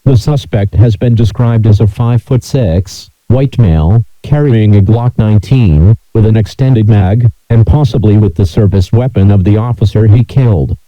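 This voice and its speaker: A man reading a scripted emergency broadcast announcement in a formal, mechanical monotone. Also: fairly low pitch, moderate pace, hardly any pauses, no echo, dull audio.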